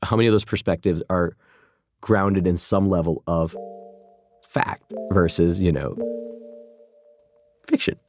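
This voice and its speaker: A man's voice: deep voice, steady tone, measured pace, pauses often, darkish tone, soft background music. A man in his forties from Chicago, a former journalist being interviewed, talking thoughtfully with frequent restarts and fillers. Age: 40s. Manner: thoughtful, many restarts and "you know" fillers. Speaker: guest, former journalist turned food entrepreneur. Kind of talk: casual interview conversation.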